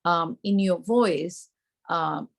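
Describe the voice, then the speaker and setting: Husky-voiced woman teaching. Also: on the low side, very slow. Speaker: early childhood teacher trainer. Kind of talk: explanatory lesson presentation.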